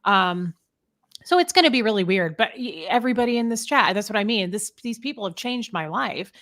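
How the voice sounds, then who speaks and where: A woman's voice: mid-range voice, animated, fairly quick, fairly bright tone. A woman hosting a casual chat, speaking candidly in a chatty, personal storytelling way. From American Midwest. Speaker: podcast host. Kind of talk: casual conversation.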